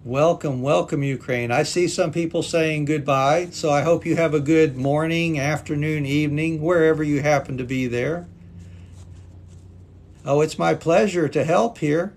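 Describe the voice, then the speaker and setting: A man's voice: on the high side, normal speed, a little hiss or hum. A native English-speaking man teaching English on a live stream, patiently reading viewer comments aloud and thanking them. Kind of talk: live-stream language class chat.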